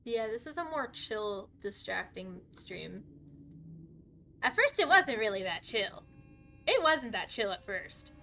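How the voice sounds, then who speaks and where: Excitable cursing woman streaming a game. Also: fairly high pitch, takes it slowly, a little hiss or hum. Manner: swears a lot, excitable outbursts, talks to chat. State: a bit hoarse. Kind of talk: live gaming stream commentary.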